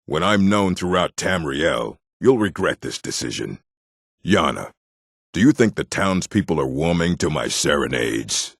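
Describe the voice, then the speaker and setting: An actor playing a gruff adult male orc from a fantasy stronghold in a video game, with a gravelly, husky voice and a blunt, weighty delivery. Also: a bass voice, dry recording, studio quality, exaggerated voice. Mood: jovial, theatrical.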